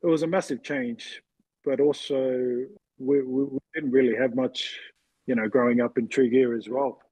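A man in his forties with a husky voice, casually telling personal stories as an interview guest. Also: medium pitch, steady tone, normal speed, darkish tone, no room sound, low-quality recording. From New Zealand, later Western Sydney, Australia. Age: 40s. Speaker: interview guest, former rugby league player.

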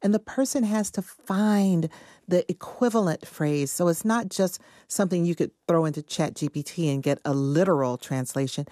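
A woman novelist in a studio interview, explaining her view in a measured, earnest way. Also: lowish voice, dark tone, dry recording. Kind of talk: conversational interview answer.

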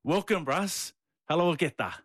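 A man's voice: on the high side, lively intonation, normal speed, no echo, studio quality. A man hosting a footy podcast, introducing his guest in an upbeat, easygoing way. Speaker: podcast host. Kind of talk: casual podcast chat.

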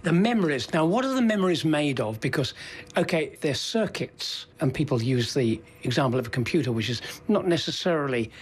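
A man's voice: on the high side, animated, fairly quick, breathy edge. An older man interviewing in a conversational way, probing and thinking aloud as he puts his questions.

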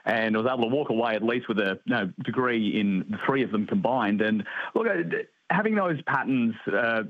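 A man's voice: medium pitch, no room sound, dull audio. An Australian man calling in to a radio show, chatty and self-deprecating. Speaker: caller. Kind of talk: phoned-in talkback call.

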